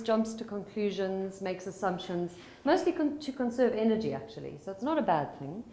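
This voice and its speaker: South African woman guiding a conference hall. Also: fairly high pitch. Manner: calm and guiding, slowing for instructions. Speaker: psychologist and mindfulness facilitator. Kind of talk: conference talk leading mindfulness exercises. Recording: roomy hall with faint ambience.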